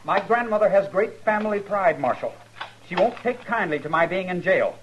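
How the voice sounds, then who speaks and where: An actor playing a spoiled, cowardly young grandson in a radio western, self-justifying and entitled. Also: male voice, high-pitched, lively intonation, moderate pace, dull audio. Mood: haughty. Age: young adult. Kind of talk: 1950s radio western acting. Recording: noisy old radio recording.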